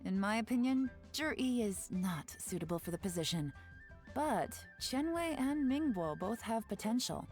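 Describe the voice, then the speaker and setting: An actress voicing a cool, composed female spy agent who speaks calmly and dryly in a video game. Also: a little high, normal speed, soft background music, close to an everyday voice. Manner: calm, dry, quietly commanding.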